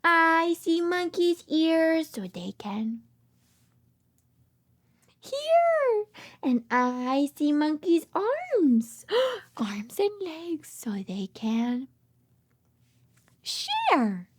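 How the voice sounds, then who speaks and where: A woman hosts a toddler read-aloud in a high voice that swoops dramatically. She speaks extremely slowly, repeating simple phrases and questions with exaggerated, child-directed expression. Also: big pitch swings.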